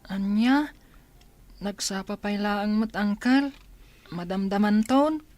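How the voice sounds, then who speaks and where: A dutiful, pleading child of school age in a Filipino radio drama, voiced by a woman. The voice is of middling pitch and earnest in manner. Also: takes it slowly, darkish tone, close to an everyday voice. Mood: meek, dismayed.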